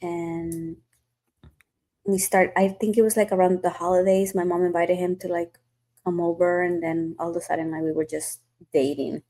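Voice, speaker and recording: A woman's voice: fairly low pitch, little pitch movement, normal speed, dark tone. A woman hosting a podcast, telling stories in a chatty, casual way with lots of fillers. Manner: chatty storytelling with many fillers. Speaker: podcast host. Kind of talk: casual chat.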